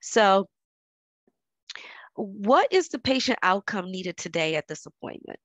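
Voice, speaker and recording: A woman with a head cold and a stuffy voice, presenting a workshop scenario over a video call in a measured way. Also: mid-range voice, sing-song, no room sound. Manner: measured, reads the scenario aloud with pauses.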